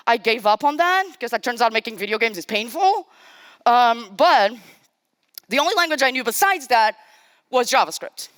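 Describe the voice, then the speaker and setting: A woman's voice: fairly high pitch, fairly bright tone, dry recording. A young woman from Portugal, giving a casual, self-deprecating live-coding talk on a conference stage. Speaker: conference speaker.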